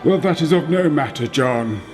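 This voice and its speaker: An actor playing a self-assured, smoothly insistent bishop in a radio play. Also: male voice, fairly high pitch, lively intonation, normal speed, a little mellow, soft background music, lightly acted. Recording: long echoing hall reverb.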